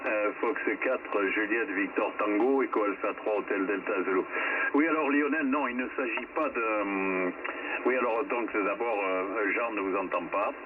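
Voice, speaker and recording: Low-voiced man on noisy shortwave radio. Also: moderate pace. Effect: shortwave radio transmission. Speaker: amateur radio operator relaying messages. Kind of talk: ham radio contact with spelled call signs. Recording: tinny, noisy, distant shortwave radio signal.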